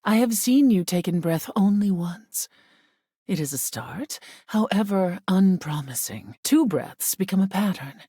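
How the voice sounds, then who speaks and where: An actress voicing a video game goddess of air, haughty and stern, with solemn formal phrasing and a breathy voice that often sinks into whisper. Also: female voice, mid-range voice, lively intonation, measured pace, warm tone, no room sound, clean studio sound. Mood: measured approval. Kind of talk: scripted video game character acting.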